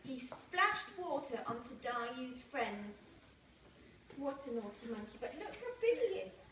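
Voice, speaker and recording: High-pitched woman reading slowly to children. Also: big pitch swings, fairly bright tone. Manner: simple, deliberate phrasing, checking in with young listeners. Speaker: storyteller reading to young schoolchildren. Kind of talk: animated picture-book read-aloud with asides to children. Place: large indoor primary school hall. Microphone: camera several metres away. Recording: echoey school hall, distant camera, noisy room.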